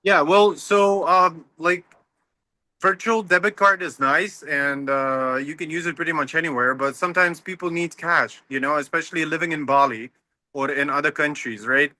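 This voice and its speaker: Man, fairly high voice, bright and forceful tone, dry close sound, upbeat explainer on a video call. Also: moderate pace, no room sound. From Latvia. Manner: upbeat, explanatory, slightly non-native phrasing. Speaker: company founder, guest. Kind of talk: casual interview chat. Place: home, early morning.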